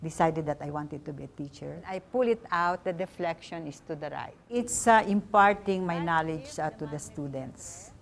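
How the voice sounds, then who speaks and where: A woman from the Philippines speaks with a Filipino accent and a low voice. She is a physics instructor explaining her work as if in a documentary interview. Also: moderate pace, vocal fry, few pauses, a little hiss or hum. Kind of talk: documentary interview and teaching talk.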